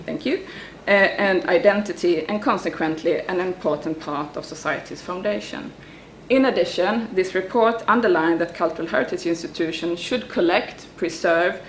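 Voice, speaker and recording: A woman, a researcher presenting at an academic conference, reading her prepared paper in English with some halting starts. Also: fairly low pitch, a little hiss or hum. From Sweden.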